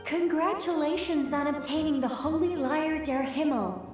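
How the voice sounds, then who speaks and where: A woman's voice: sits high, normal speed, a little mellow, soft background music, lightly acted. A woman voicing a playful announcer in a video game, with heavy echo on the voice. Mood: congratulatory. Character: playful female announcer congratulating players.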